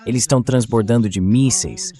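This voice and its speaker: Synthetic male voice-over, medium pitch. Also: a little fast, mellow. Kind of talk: translated voice-over of political commentary.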